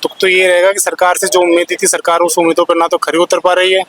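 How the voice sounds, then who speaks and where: A man's voice: high-pitched, completely flat delivery, fast, fairly bright tone. A man at a protest, an exam aspirant arguing bitterly and sarcastically about a broken recruitment. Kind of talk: street interview.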